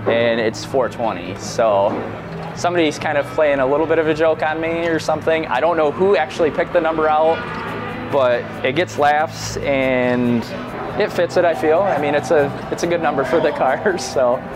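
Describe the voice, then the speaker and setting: A man's voice: on the high side, moderate pace. Easygoing, jokey man driver. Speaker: race car driver. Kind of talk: casual interview answers. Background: background music.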